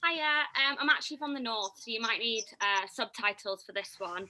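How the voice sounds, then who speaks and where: Woman doing a northern English caller, cheeky, very bright tone, slightly higher pitch. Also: fairly quick, a little hiss or hum, poor audio. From northern England. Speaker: caller asking a question.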